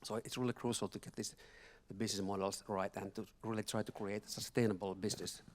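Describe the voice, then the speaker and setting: A man speaking as a panelist at a conference workshop, talking haltingly with many repeated words and restarts. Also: on the low side, steady tone. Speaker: panelist from a finance institution. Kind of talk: panel discussion remarks. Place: conference hall.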